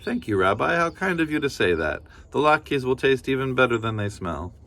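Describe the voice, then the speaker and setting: A man voicing a kindly old grandmother who fusses warmly over her guest. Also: mid-range voice, sing-song, moderate pace, pitched above the performer's own voice. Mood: doting. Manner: doting, gently scolding.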